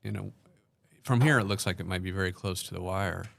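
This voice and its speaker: Very deep, gravelly male co-commentator. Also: brisk, fairly bright tone. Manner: hesitant, many ums, describes play step by step. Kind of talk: casual play-by-play commentary.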